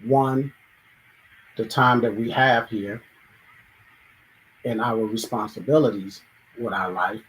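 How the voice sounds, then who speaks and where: A man's voice: on the low side, fairly even intonation, takes it slowly, long pauses, fairly bright tone, a little hiss or hum, rough recording. Reflective man musing in a monologue. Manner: thoughtful, acts out everyday dialogues, sometimes restarts. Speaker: show host.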